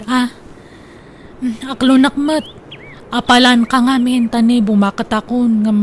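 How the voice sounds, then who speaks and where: A woman playing an excitable, man-hungry older sister in a comedy radio drama, exclaiming theatrically. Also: fairly high pitch, lightly acted. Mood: apologetic, pleading. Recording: faint background noise.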